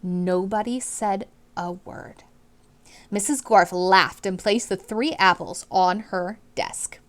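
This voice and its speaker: A woman teacher reading aloud to children in an expressive storytime style, stressing key words dramatically and putting questions to her listeners. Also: mid-range voice, takes it slowly.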